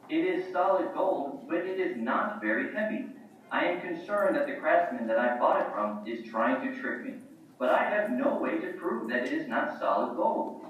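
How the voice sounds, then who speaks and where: Man playing an excitable puppet-show king, echoey, high-pitched. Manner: theatrical, declamatory. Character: proud, excitable king, quick to doubt and marvel. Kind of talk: children's puppet show acting. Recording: very echoey room, distant microphone.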